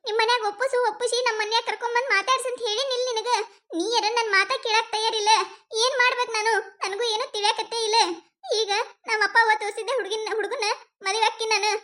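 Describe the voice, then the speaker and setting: Woman doing a young college girl, north karnataka accent, super high squeaky voice, pleading and teary. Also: fairly even intonation, fast, bright, forceful tone. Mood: exasperated. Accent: North Karnataka Kannada. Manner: pleading, breaks into teary laughter. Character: young woman college student, anguished, torn over marriage. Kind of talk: amateur skit acting.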